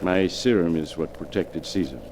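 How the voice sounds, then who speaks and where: An actor playing a calm, cunning male scientist in a 1940s radio drama, speaking in a polished, measured way. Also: low-pitched, slight background noise, close to an everyday voice. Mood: explaining.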